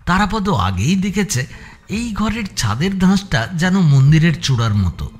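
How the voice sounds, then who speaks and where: A man narrating a scripted suspense audio story, with deliberate, descriptive phrasing. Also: high-pitched, very animated, faint music behind.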